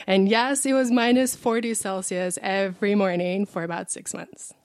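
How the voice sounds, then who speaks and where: A woman in her thirties from Russia, talking easily as a podcast guest. She explains with lots of examples in a relaxed, chatty way. Her voice sits at a middle pitch and the sound is close and dry. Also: moderate pace, no room sound. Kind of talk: casual podcast interview.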